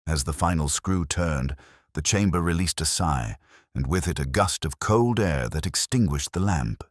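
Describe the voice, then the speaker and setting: A man reading a scripted first-person detective story in a measured, dramatic storytelling manner. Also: very deep voice, lively intonation, dry recording, studio quality. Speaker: audiobook narrator.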